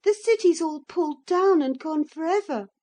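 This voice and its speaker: A woman's voice: sits high, big pitch swings, slow pace, mellow, pitched above the performer's own voice, exaggerated voice. A woman reading a storybook, voicing an anxious young boy who pleads and asks questions eagerly. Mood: pleading.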